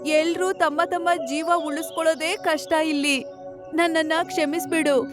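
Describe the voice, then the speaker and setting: A woman as a high-voiced, apologetic deer aunt. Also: fairly even intonation, moderate pace, music in the background, natural voice. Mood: regretful. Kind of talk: cartoon story voice acting.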